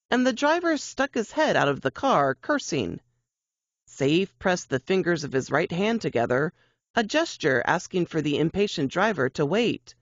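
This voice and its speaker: A woman's voice: on the low side, no echo. A woman narrates fiction with suspense and expression. Her intonation swings widely from line to line. The sound is dry, with a slightly muffled, lo-fi finish. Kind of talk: dramatic audiobook-style narration.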